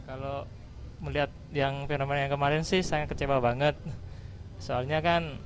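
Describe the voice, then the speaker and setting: A man's voice: medium pitch, little pitch movement, normal speed, noisy background. A man chatting casually as a band guest in an interview.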